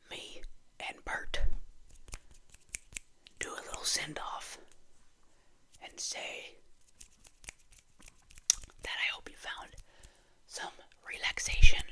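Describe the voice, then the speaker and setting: An ASMR host whispering to viewers in a casual, rambling way, with gentle, playful musing.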